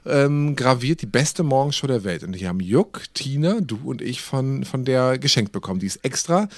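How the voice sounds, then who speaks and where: A man's voice: medium pitch, lively intonation, moderate pace, no room sound. A middle-aged man, telling anecdotes in casual, wryly joking podcast talk. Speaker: podcast co-host. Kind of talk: casual chat.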